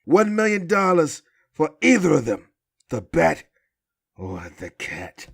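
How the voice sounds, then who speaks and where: A man playing an imperious, aggrieved crime boss in an audio drama, theatrical and commanding, swinging from hushed to loud. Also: fairly high pitch, wildly swooping pitch, slow, gravelly, breathy edge, full character voice. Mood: vengeful, commanding.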